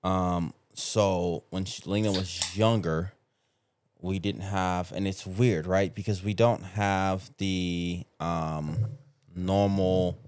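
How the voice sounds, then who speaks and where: A middle-aged man speaks in a deep, smooth voice, recorded close and dry. He chats casually but haltingly, pausing to find his words in a reflective way. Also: darkish tone, no room sound. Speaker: podcast participant. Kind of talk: casual chat.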